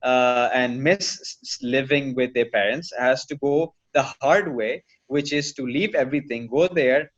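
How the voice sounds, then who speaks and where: A man's voice: medium pitch, a little slow, few pauses, highs cut off. A man, a dentist and teacher, answering interview questions earnestly and step by step, with dry asides. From Pakistan. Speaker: dentist and teacher, podcast guest.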